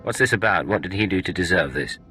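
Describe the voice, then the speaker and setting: Male spy, deep, husky and gravelly, forceful edge, terse, defiant, sci-fi game hero. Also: expressive, quick pace, faint music behind, heavily acted, rough recording. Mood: concerned. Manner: terse, assertive. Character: cool, defiant male imperial secret agent.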